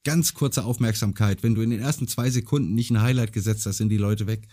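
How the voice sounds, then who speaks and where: A man's voice: on the low side, quick pace, mellow. A man hosting a podcast interview who encourages his guest and agrees often. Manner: encouraging, with frequent backchannel agreement. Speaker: podcast host. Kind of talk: casual interview chat.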